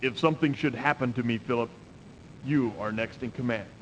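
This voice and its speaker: An actor playing a proud, stern emperor at war in a declamatory video game cutscene style. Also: male voice, fairly quick, dull audio, lightly acted. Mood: grave, resolute. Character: proud commanding emperor at war with his brother. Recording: noisy 1990s CD game audio, rumble.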